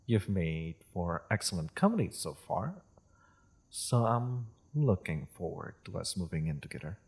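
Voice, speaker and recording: Fantasy roleplay half-dragon, acted by a man: low, husky, courteous and grave, speaking in formal phrases. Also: big pitch swings, darkish tone, exaggerated voice. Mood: pleased, courteous. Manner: measured, formal, deliberate storyteller-like phrasing. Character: polite, solemn male half-dragon seeking peace with humans. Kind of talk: fantasy audio roleplay addressed to the listener.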